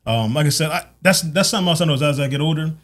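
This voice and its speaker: Fast-talking American man hosting a podcast. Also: a little high, animated, fairly bright tone. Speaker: podcast host. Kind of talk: casual conversational interview.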